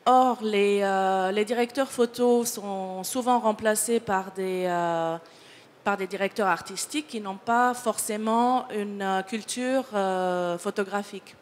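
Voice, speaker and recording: A woman's voice: mid-range voice, slow, a little hiss or hum. A woman who is not a native French speaker, talking as a picture researcher on a panel, hesitant with many fillers and restarts. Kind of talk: panel discussion. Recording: long hall reverb.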